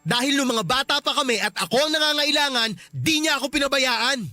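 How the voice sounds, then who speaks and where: A man's voice: very high voice, brisk, sharp tone, soft background music, heavily acted. A man playing a conflicted young Filipino husband in a radio drama, hesitant, pleading and defensive. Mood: firm, pained. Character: conflicted young husband, apologetic, loyal to his brother.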